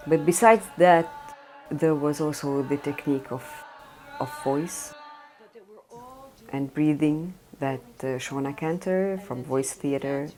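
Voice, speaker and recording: A woman theatre director talking earnestly in an interview, pausing often with fillers. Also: sits low, a little mellow. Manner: earnest, halting with frequent fillers. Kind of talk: documentary interview.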